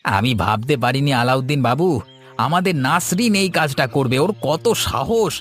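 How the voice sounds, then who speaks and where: A man voicing an excitable village shopkeeper in a cartoon story. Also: medium pitch, moderate pace, soft background music, natural voice. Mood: indignant.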